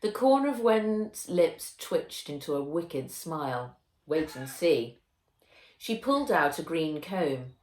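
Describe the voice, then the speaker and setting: A woman reading a children's novel aloud as its author, in a measured, expressive storytelling way. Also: on the low side, slight background noise. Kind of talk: children's audiobook narration. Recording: roomy echo.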